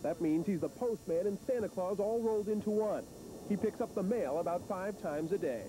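Male TV reporter, thirties, high and fast, live on location, slight background hiss. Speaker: TV field reporter. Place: outdoors at an army base, on location. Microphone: handheld reporter microphone. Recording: faint hiss and rumble, old TV broadcast.

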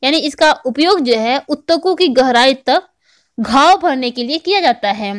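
A woman's voice: high-pitched, expressive, a little slow, no echo, clean studio sound. A woman with an Indian accent giving an explanatory lecture as a teacher, repeating and rephrasing key points. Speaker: online physical education teacher. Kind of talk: explanatory exam-prep lecture.

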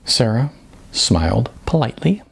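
A middle-aged man reading his novel aloud as an author, in an animated, emphatic audiobook storytelling style. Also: medium pitch, moderate pace, gravelly, breathy edge. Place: small furnished room indoors.

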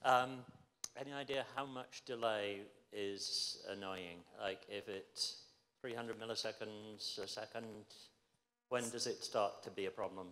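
A man in the audience asking a casual question loudly from a distance in a large hall. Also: fairly low pitch, fairly even intonation, unhurried. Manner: loud, casual.

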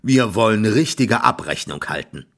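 A man voicing a gruff, stout middle-aged farmer who scolds and threatens in a rough voice in an audiobook. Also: on the low side, expressive, moderate pace, fairly bright tone. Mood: gruff, threatening. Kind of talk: audiobook character voice.

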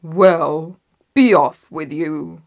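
Woman voicing a loud, roaring ugly troll. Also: low voice. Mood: gruff, dismissive. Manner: roaring, menacing, drawn-out. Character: great ugly hungry troll roaring under bridge.

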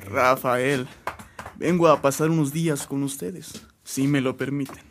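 A man's voice: mid-range voice, steady tone, unhurried, slight background noise, close to an everyday voice. A man playing a dignified, courteous churchman in a radio drama, formal and polite. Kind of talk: Spanish-language radio drama acting.

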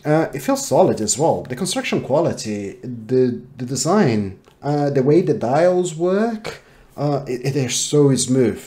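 Mellow-voiced man reviewing tech. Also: mid-range voice, very animated, unhurried. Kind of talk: casual product review to camera. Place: small home office room, indoors.

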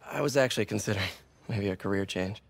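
A man's voice: lowish voice, fast, gravelly. An actor in his twenties playing an awkward, kind young man in a video game, hesitant and stammering. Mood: sheepish, casual. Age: 20s. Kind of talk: naturalistic game cutscene acting.

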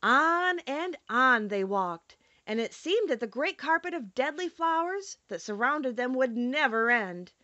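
A woman narrates a storybook in a high, very animated voice. Her reading is expressive and scripted, recorded dry and close. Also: no room sound, lo-fi sound. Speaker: audiobook narrator.

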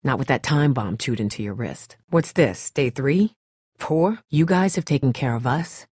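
A tough young woman survivor from a video game drama, protective and blunt. Her voice is husky and swings widely in pitch, recorded close and dry. She speaks in terse, urgent lines with a sardonic edge. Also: animated, normal speed, darkish tone, dry recording, exaggerated voice. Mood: tense, guarded.

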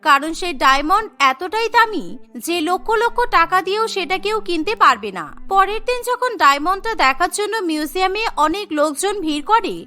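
A woman's voice: very high-pitched, animated, a little fast, bright, forceful tone, soft background music. A woman narrating a scripted cartoon story in a storytelling manner.

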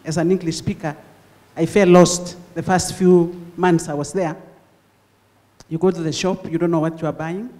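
An older woman speaking into a handheld microphone in a large lecture hall, hosting a conference and reflecting before she introduces the speaker in a measured, formal way. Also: lowish voice, fairly even intonation, mellow. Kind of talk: formal public address. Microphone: handheld mic. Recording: long hall reverb.

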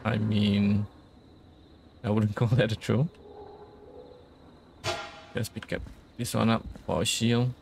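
A man's voice: lowish voice, flat delivery, slow pace, creaky, pauses often, noisy background. A man giving casual let's play commentary, thinking aloud and reading out instructions as he goes. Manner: murmured thinking aloud, reads on-screen instructions. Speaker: gaming video host.

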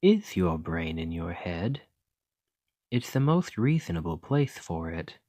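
A man playing an earnest, chatty scholar in an ASMR roleplay, speaking gently and hesitantly as he rambles and interrupts himself. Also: sits low, sing-song, slow pace, dry recording, heavily acted. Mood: musing, rambling. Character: earnest, rambling male scholar gently reassuring a captive creature.

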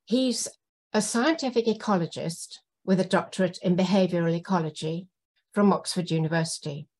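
Female moderator introducing an old friend over a video call, admiring and a little halting. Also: lowish voice, darkish tone, breathy edge. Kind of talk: introducing a speaker. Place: home room with bookshelves.

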